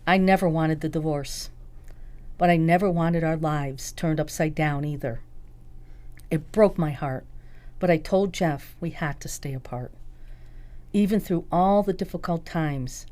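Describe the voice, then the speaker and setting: Low-voiced woman reading a personal account. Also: unhurried, dark tone. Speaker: reader of a first-person memoir account. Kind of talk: scripted first-person narration, audiobook reading.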